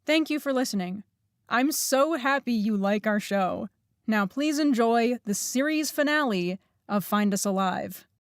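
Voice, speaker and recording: A woman with a fairly high voice gives a podcast introduction, speaking directly to listeners as the show's creator. The recording is close and dry, with very little room sound. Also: expressive, unhurried, dry recording. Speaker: writer, director, producer and voice actor of an audio drama. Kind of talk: podcast introduction and patron thanks.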